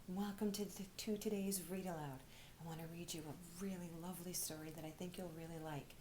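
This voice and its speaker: A woman reading aloud to children like a teacher, expressive, often dropping into breathy, hushed storytelling. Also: fairly low pitch, brisk. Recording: faint background noise.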